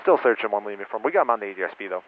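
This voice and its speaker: A man's voice: on the low side, animated, narrow, tinny audio. A man flying as flight instructor, making clipped radio calls and chatting in the cockpit. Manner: rapid, clipped radio phraseology. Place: small plane cockpit.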